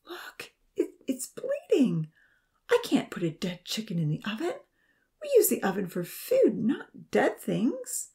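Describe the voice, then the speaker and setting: A woman voicing a squeamish, pleading woman in a story, with a breathy delivery. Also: a little high, huge pitch swings, unhurried, mellow, voice pushed up, exaggerated voice. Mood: disgusted, pleading. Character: squeamish, pleading woman disgusted by dead chicken.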